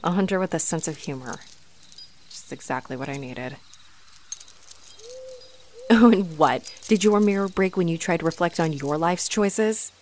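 A woman's voice: on the low side, moderate pace, long pauses, darkish tone, a little hiss or hum, natural voice. A woman playing an aggravated, haughty, flirtatious female vampire in a scripted audio roleplay, with mocking, theatrical delivery. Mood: grudging. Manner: mocking, sardonic, theatrical taunting.

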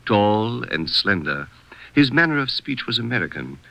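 A man's voice: fairly low pitch, very animated, moderate pace, gravelly, bright, forceful tone, no room sound, full character voice, low-quality recording. A man playing a courteous retired Egyptian statesman in an old-time radio drama, with formal, gracious phrasing. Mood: courteous, grave.

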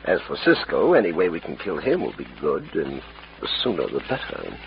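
Scheming french duelist, swoopy gravelly voice, smooth and formal, old radio western. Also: male voice, extremely low pitch, huge pitch swings, a little fast, exaggerated voice. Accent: put-on French. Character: suave scheming French villain, a duelist with a phony accent. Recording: muffled, hissy old radio recording.

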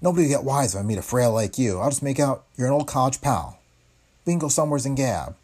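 A man's voice: mid-range voice, sing-song, fast, a little mellow, slightly rough, voice pushed up. A man voicing a brassy, streetwise woman tipster who talks in tough slang and calls everyone dearie. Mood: brisk. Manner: slangy tough-girl talk, keeps saying dearie.

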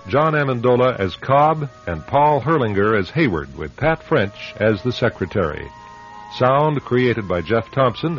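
An American man hosting a radio drama series with scripted narration. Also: medium pitch. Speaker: host, writer and director. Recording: dull radio recording. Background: music.

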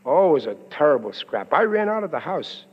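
An actor playing a hapless, aggrieved middle-aged working-class husband, slangy and grumbling, in 1940s radio comedy style. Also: male voice, fairly high pitch, very animated, a little fast, husky. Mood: upset. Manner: plain-spoken, slangy grumbling. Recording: muffled, noisy old radio recording, reverberant.

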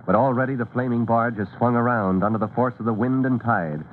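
A male narrator delivers dramatic, scripted storytelling in old-time radio style. His pitch is average for a man. The recording is narrow and tinny with clear background noise. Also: fairly quick.

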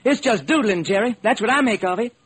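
A man's voice: high-pitched, animated, fairly quick, fairly bright tone, slightly rough, exaggerated voice. An actor playing a plain-spoken, skeptical male police lieutenant in a classic radio crime drama. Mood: skeptical, excited.

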